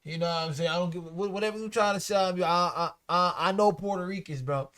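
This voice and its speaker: A man co-hosting a laid-back podcast, talking in a blunt, emphatic way full of profane street slang. His voice sits a touch higher than most men's. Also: fast talker, fairly bright tone, clean tone. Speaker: podcast co-host. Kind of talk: casual chat about rap and culture.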